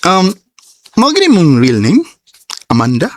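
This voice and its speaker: A lovestruck young Cebuano man in a radio drama. His pitch swings widely, and he sounds eager, giggly and expressive. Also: measured pace, slight background noise. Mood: shy, eager.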